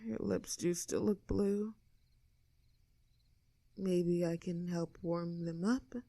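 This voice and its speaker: A woman playing a creaky-voiced, caring young tomboy. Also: on the low side, fairly even intonation, warm tone, no echo, exaggerated voice. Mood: tender, shy. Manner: casual, teasing, tender, halting when flustered. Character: easygoing, caring young tomboy friend with a secret crush. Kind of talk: intimate scripted audio roleplay.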